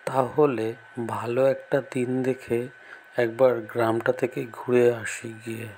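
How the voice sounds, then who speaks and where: A man's voice: mid-range voice, level tone, unhurried, darkish tone, slight background noise, natural voice, rough recording. A man playing a kindly, polite young landlord in a cartoon story, speaking earnestly. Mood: pensive, resolved. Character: kindly young landlord gentleman in disguise, concerned and helpful.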